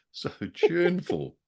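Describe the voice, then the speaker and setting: A man's voice: sits high, gravelly, a little mellow. A man co-hosting a casual review chat about old radio drama, with a wry, jokey manner and a taste for dramatic turns of phrase. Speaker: podcast co-host.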